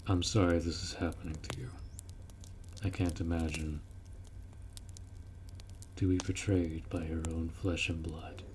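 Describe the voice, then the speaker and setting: Man playing a deep-voiced, protective orc warrior. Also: level tone, a little slow, pauses often, a little mellow, a little hiss or hum. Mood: sympathetic, reassuring. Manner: blunt, informal, firm, instructing. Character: gruff, protective orc warrior man, blunt but caring. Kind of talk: roleplay audio drama, addressing the listener.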